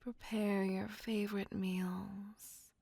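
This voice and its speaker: A woman plays a courtly, possessive female vampire smitten with a human. She speaks very slowly in a breathy, formal whisper with a gravelly creak. It is an intimate ASMR roleplay, recorded close and dry. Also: medium pitch, flat delivery, a little mellow, no room sound, full character voice. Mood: devoted, coaxing. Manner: formal old-fashioned wording, slow and breathy.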